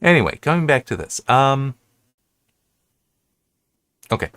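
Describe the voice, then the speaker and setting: An American man giving casual, unscripted commentary as a reviewer, halting and correcting himself as he rambles. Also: mid-range voice, very animated, a bit bright, clean tone, no echo, clean studio sound. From United States. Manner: halting, self-correcting, restarts and digresses often. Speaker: reviewer hosting an episode-by-episode analysis.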